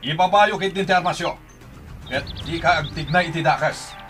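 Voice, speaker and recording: Man as commanding police officer, high-pitched, urgent delivery, distant, music behind, radio drama. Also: moderate pace, a bit bright, close to an everyday voice. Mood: stern. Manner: barking orders. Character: commanding policeman ordering gangsters to surrender. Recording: distant from microphone.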